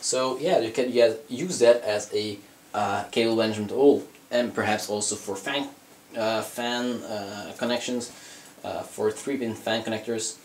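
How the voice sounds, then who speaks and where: A man's voice: fairly low pitch, a little slow. A young man with a cold and a slightly scratchy voice, casually walking through a hardware review, rambling with fillers and self-corrections. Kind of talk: casual product review walkthrough. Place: indoors at a desk, handling a PC case.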